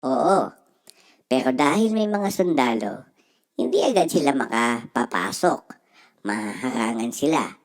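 A woman playing a gentle, reassuring mother who explains patiently to young children in a storybook. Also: a bass voice, sing-song, measured pace, pauses often, exaggerated voice. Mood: proud, reassuring.

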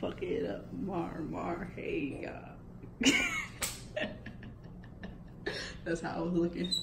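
A woman's voice: on the low side, level tone, slow pace, gravelly, noisy background. A young woman vlogging casually while touring a museum, giggling and often dropping to a whisper, recorded on a phone at arm's length. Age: young adult. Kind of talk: casual vlog chat. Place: indoor museum galleries. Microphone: phone held at arm's length.

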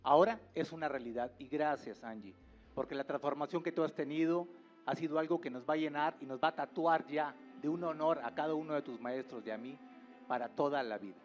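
A middle-aged man giving a solemn, deliberate, florid speech into a handheld microphone. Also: on the high side. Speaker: academy director. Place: seated in TV studio audience. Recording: dull sound, faint music behind.

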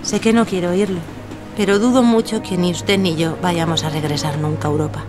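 A woman playing a poised, sharp young actress in an audio drama, formal and self-assured. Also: on the low side, fairly even intonation, a little fast, music in the background, close to an everyday voice.

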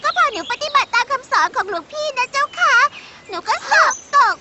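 Very high-pitched whiny little girl. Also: lively intonation, a little fast. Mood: pouting. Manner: childish, pleading, pouting. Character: whiny, hungry little schoolgirl. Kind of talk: children's cartoon voice acting. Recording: muffled audio, loud background music. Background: loud music.

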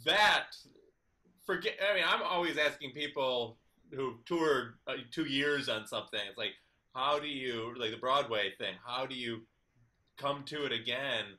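A man in his thirties hosting a casual interview over a video call from his kitchen, asking rambling, animated questions. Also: medium pitch, measured pace. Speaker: host. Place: home kitchen. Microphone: video call webcam.

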